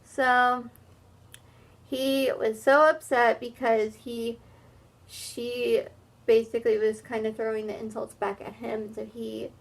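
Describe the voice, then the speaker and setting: A woman reading a children's story aloud like a teacher, expressive, with simple explanatory asides. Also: a little high, slow, vocal fry.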